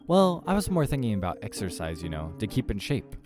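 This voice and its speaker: A man's voice: on the low side, very animated, a little fast, over music, voice pushed up. Man playing a genial, mild-mannered human date. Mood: nervous cheer. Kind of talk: acted visual novel dialogue.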